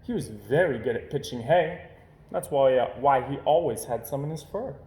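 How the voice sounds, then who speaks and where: A man reading a children's story aloud as storyteller, with expressive narration. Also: mid-range voice, moderate pace. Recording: roomy, echoing home recording.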